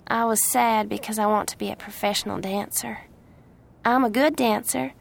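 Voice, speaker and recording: A woman voicing a gentle, friendly young woman who is patient with children, in an audiobook story. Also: medium pitch, gravelly, a little hiss or hum, pitched above the performer's own voice, lightly acted. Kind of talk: audiobook character voice.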